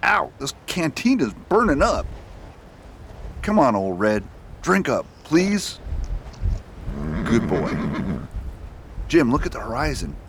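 A man's voice: medium pitch, very animated, slow pace, creaky, long pauses, fairly bright tone, slight background noise, heavily acted. A man playing a determined US marshal in a radio drama, speaking earnestly and insistently. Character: determined male US marshal, stubborn and dutiful. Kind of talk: amateur radio drama acting.

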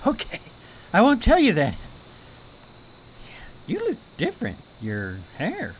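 A woman's voice: mid-range voice, slow, slight background noise. A woman playing an earnest, anxious and awkward young prince in a radio play. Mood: friendly. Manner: earnest, excitable, hesitant when shy. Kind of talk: radio theater acting.

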